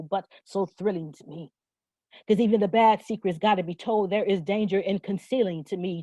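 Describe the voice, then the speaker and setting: Mellow-voiced woman reciting spoken word poetry. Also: mid-range voice, no room sound, dull audio. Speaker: poet.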